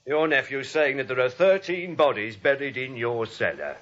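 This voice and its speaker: A man's voice: mid-range voice, lively intonation, moderate pace, a bit bright. A man playing a brusque, no-nonsense police lieutenant in 1940s radio comedy, firm and businesslike. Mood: firm, exasperated. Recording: muffled vintage radio recording.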